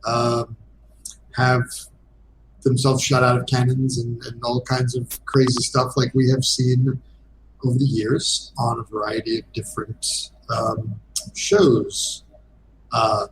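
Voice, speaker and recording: A man giving a casual interview as a game designer guest, speaking hesitantly with frequent pauses. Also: mid-range voice, flat delivery, noisy background.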